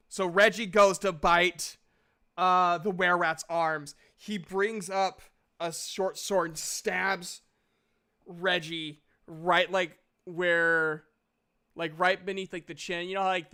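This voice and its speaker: A man narrating a tabletop game as game master, improvising the story in a casual, halting way. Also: a little high, fairly even intonation, sharp tone, clear, resonant tone.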